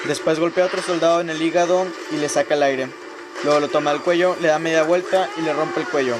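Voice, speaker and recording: A man's voice: on the high side, steady tone, quick pace, noisy background. A man reading scripted narration for a radio drama, telling a story in the third person.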